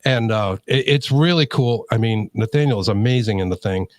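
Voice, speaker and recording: A man's voice: lowish voice, a little fast, no echo, clean studio sound. A man with a New England accent chatting casually as a podcast co-host, in an informal, slangy, rambling way. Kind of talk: casual chat.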